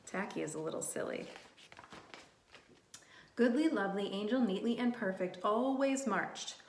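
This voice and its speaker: A woman doing a read-aloud storytime for young children in simple, encouraging language, animated and often asking questions. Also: mid-range voice, slight background noise. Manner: animated, asks children questions and pauses for answers. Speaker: early childhood teacher reading aloud to children. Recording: roomy, echoey room sound.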